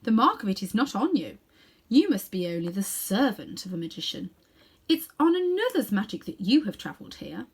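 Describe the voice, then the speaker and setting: A woman's voice: medium pitch, sing-song, fairly quick, slightly rough. A woman voicing a tall, imperious queen in a storybook, haughty and commanding. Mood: scornful.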